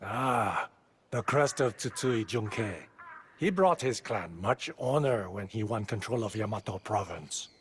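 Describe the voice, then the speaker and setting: An actor playing a sage, formal keeper of clan lore in a video game, with a very raspy, cautionary delivery. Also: male voice, fairly low pitch, expressive, a little slow, fairly bright tone, slight background noise. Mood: knowing, reflective. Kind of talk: video game cutscene acting.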